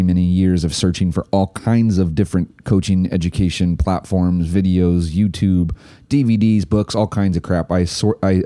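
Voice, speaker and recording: A man's voice: deep voice, no echo, clean studio sound. A man hosting a coaching podcast, talking casually and enthusiastically with plenty of fillers and restarts. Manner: relaxed, filler-heavy, enthusiastic ad read. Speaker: podcast host. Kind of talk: casual podcast intro and interview.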